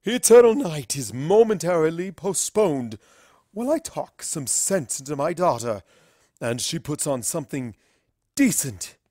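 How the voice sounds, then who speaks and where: Imperious dark mare princess, grandly theatrical. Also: female voice, low-pitched, very animated, dry recording, studio quality, heavily acted. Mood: indignant. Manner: pompous, slow, grandiose. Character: imperious evil princess mare, stern scolding mother. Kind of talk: fan fiction audio reading.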